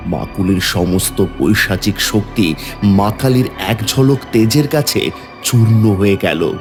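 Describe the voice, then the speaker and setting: A man's voice: on the low side, unhurried, music in the background. A man narrating a scripted devotional horror story in a dramatic storytelling style.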